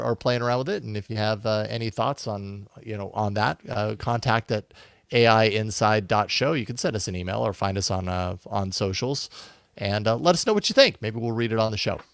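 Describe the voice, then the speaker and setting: A man's voice: mid-range voice, normal speed, vocal fry, clean tone, no echo, studio quality. A man talking casually and thoughtfully as a podcast co-host, rambling a little as he works through his ideas. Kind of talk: casual conversational tech podcast talk.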